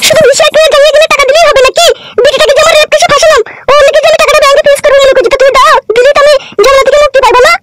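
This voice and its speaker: A man's voice: extremely high pitch, fairly even intonation, racing, heavy background noise. A man voicing a suspicious, nagging married woman in a comedy cartoon. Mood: demanding, indignant. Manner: nagging, indignant questioning.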